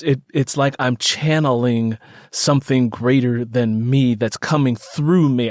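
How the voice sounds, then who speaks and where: A man hosting a conversational podcast interview, speaking reflectively and deliberately as he builds up to his questions. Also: medium pitch, a little mellow, dry recording, studio quality. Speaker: podcast host, writer.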